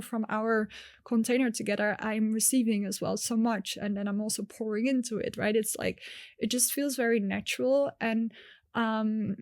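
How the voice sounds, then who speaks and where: A woman with a fairly high voice and a close, dry sound talks as a coach in a conversational interview. She is earnest and expressive, leaning into her points with emphasis. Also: no echo. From raised in Nepal.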